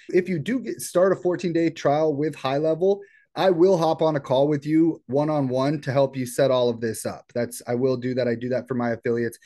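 Guy doing a casual screen share tutorial, explains step by step, normal mid pitch voice. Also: a little mellow. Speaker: software tutorial presenter. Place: indoors at a computer.

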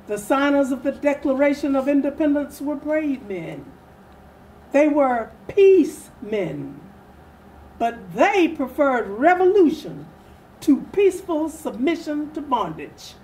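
A woman's voice: high-pitched, darkish tone, a little hiss or hum, poor audio. An older woman giving a solemn, declamatory dramatic reading of an old speech. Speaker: readers theatre performer. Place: furnished sitting room, indoors.

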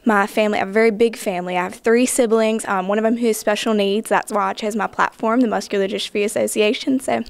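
Young woman, dry close clear voice. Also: medium pitch, fairly even intonation, fast talker, hardly any pauses, no echo. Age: young adult. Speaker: pageant contestant. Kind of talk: casual self-introduction.

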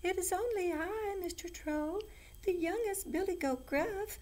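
A woman voicing a timid young male billy goat in a storybook, with a tiny, squeaky bleat. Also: a little slow, a little mellow. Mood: timid, pleading.

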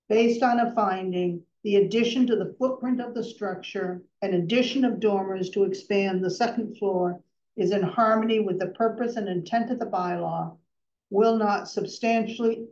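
A middle-aged woman chairing a town zoning board, reading out motions slowly and carefully in a formal tone. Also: medium pitch, mellow, a little hiss or hum. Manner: measured, reads legal text slowly and carefully. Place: town hall meeting room. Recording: distant, dull echoey public-access meeting audio.

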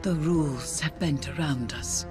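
Solemn game witch, low voice, cryptic, formal, loud music behind. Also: unhurried. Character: solemn, ominous witch guiding a soldier through haunted ship.

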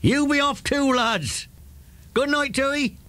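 An actor playing a gruff but kindly country shepherd who scolds, in a children's audio drama. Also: male voice, extremely high pitch, slow pace, noisy background. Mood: stern.

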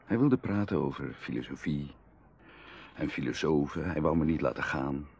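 Deep-voiced, lovesick aristocratic bachelor. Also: male voice, animated, moderate pace, vocal fry, husky, exaggerated voice. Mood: frustrated. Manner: emotional, dramatic, shifting from hushed to outbursts. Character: lovesick, proud, hard-up aristocratic bachelor, confiding and passionate. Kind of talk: Dutch radio drama acting.

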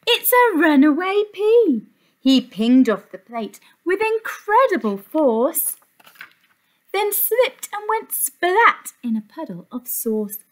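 A woman's voice: very high-pitched, big pitch swings, slow. A woman reads a picture book to young children with sing-song emphasis, like an infant teacher. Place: small indoor classroom.

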